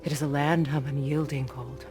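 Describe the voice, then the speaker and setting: A woman's voice: rumbling low, steady tone, normal speed, over music. An actress playing a proud, wise witch goddess and healer in a video game, solemn, firm and instructive. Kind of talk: dramatic video game acting.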